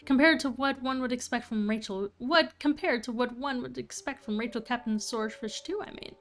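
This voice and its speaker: A woman's voice: on the high side, moderate pace, a bit bright. A woman livestreaming a video game, giving casual commentary as she plays. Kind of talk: casual game commentary, reading dialogue aloud. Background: game music.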